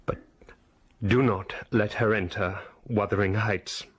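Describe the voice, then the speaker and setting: An actor playing a grave, dying gentleman in a period drama, with a weak, breathy voice. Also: on the low side, fairly even intonation, unhurried. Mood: frail resolve. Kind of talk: period radio drama acting.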